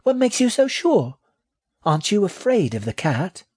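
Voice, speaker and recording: Storybook baby rat, female, man performing: very high, thin, cheeky and proud, full of indignant questions. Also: sing-song, moderate pace, a little mellow, husky, exaggerated voice. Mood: inquisitive. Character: tiny young female baby rat, feisty, proud, inquisitive. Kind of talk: children's audiobook character.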